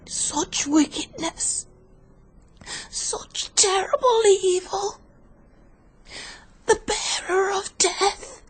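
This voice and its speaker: A dying woman in a radio drama, her voice very high and drawn out. She gasps and whispers in halting phrases, frightened and desperate to confess. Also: full character voice, rough recording. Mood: anguished, fearful. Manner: gasping, halting, whispered urgency.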